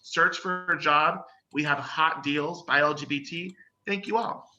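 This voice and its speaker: A man who leads a business association, giving a brisk promotional pitch over a video call with dull, lo-fi audio. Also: sharp tone. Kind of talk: short networking pitch.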